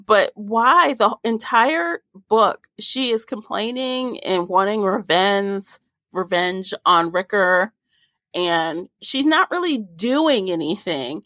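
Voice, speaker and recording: A woman's voice: mid-range voice, expressive, slow, a bit bright, no echo. A woman podcast host chatting casually about a book in an opinionated, informal way. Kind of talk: casual book discussion chat.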